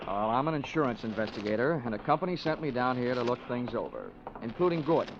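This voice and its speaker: A man's voice: medium pitch, big pitch swings, fast talker, noisy background. An actor playing a wry, hardboiled insurance investigator in a radio detective drama, dry and wisecracking as he talks and narrates. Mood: dry, brisk. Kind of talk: 1950s radio detective acting, first-person narration. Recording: muffled old radio broadcast.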